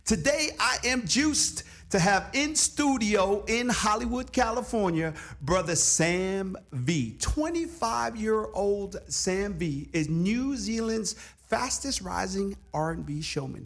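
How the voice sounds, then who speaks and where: A middle-aged man hosting a radio interview show from a studio, reading an emphatic scripted introduction and asking questions. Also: high-pitched. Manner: upbeat, emphatic announcer delivery. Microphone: studio microphone with headphones.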